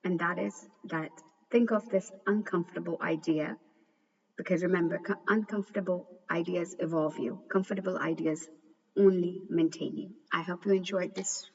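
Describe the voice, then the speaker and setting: Woman, book review host, earnest measured delivery, slight stumbles, long room reverb, distant muffled mic. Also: lowish voice, steady tone, vocal fry, darkish tone. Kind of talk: reflective book commentary. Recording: dull, echoey recording from a distance.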